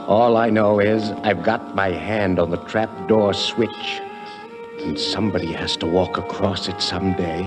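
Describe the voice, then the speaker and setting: A low, slow-spoken male thief, broken and pleading, in 1950s radio style. He is anguished and halting, with loud music behind him. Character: desperate trapped treasure thief, now a broken pleading guardian. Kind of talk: 1950s radio drama acting.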